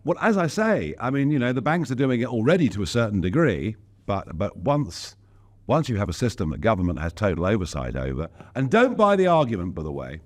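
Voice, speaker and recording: A man being interviewed as a politician, speaking in an emphatic, outspoken way with wry asides. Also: medium pitch. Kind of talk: casual interview talk.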